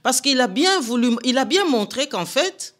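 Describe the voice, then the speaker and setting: A woman's voice: sits high, very animated, normal speed, fairly bright tone. An older woman, a guest answering radio interview questions in a firm, indignant, emphatic way from a studio. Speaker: political guest.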